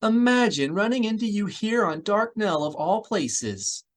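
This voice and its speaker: Man putting on a gushing, high-pitched excitable woman. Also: measured pace. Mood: excited, delighted. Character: effusive, bubbly, excitable woman greeting people.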